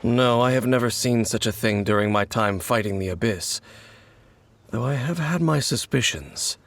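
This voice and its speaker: An actor playing a mysterious, grave wanderer who hunts the Abyss, speaking in a measured and cryptic way in video game voice acting. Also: male voice, medium pitch, dry recording, natural voice. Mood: solemn. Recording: game audio with loud music.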